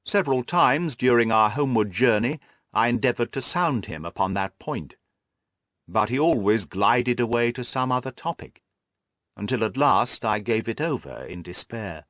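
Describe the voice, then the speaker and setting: A man reading scripted literary narration for an audiobook in a measured storytelling manner. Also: mid-range voice, expressive, a bit bright, no room sound, clean studio sound.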